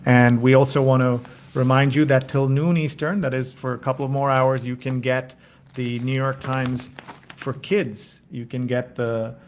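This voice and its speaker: A man hosting a casual live show, presenting in an enthusiastic but halting way. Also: mid-range voice, flat delivery, a little hiss or hum. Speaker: host.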